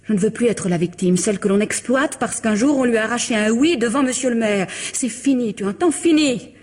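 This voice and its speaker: An actress playing an exasperated, scornful younger wife in a French radio mystery, theatrical and reproachful. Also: female voice, on the high side, brisk, a little mellow, lightly acted. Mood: scornful, furious.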